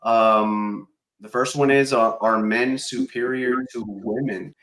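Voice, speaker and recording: A man's voice: mid-range voice, fairly even intonation, slow, a bit bright, poor audio. A man co-hosting a casual podcast, blunt and a little crude as he leads the segment. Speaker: podcast co-host. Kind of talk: casual chat.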